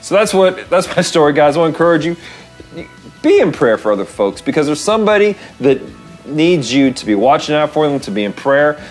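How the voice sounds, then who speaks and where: An American man in his thirties hosting a vlog, casually telling a story with expressive emphasis and repeated phrases. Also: on the high side, fairly quick, faint music behind. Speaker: show host. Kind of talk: casual storytelling with devotional encouragement. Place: small wood-panelled room, indoors.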